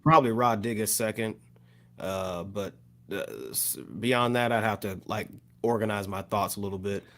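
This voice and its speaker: Low-voiced man, measured podcast talk. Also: fairly even intonation, fairly bright tone. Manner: measured, thinks aloud with "uh" and "like". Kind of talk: casual hip hop podcast chat.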